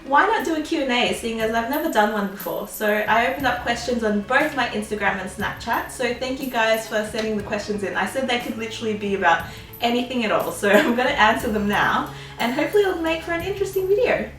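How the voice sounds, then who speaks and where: A woman's voice: a little high, expressive, fast talker, fairly bright tone, roomy sound, recorded from a distance, faint music behind. A young woman in her twenties, chatting through a casual Q&A with a bubbly, giggly manner and lots of "um"s and "like"s. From Australia. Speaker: vlogger. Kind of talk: casual Q&A chat.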